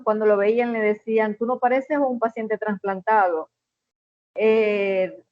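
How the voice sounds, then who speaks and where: A middle-aged woman with a Venezuelan accent, a guest in an interview, telling a personal story in an earnest, measured way with many "este" fillers. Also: a little high, no echo, highs cut off. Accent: Venezuelan Spanish.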